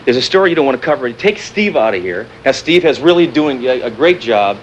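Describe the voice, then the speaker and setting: Actor as taunting, swooping-voiced terrorist doctor. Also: male voice, mid-range voice, big pitch swings, highs cut off, natural voice. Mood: goading, agitated. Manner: halting, repeats himself, sarcastic and goading. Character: intense, taunting male scientist turned terrorist, doctor. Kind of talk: naturalistic TV drama acting. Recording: noisy 1980s television broadcast audio.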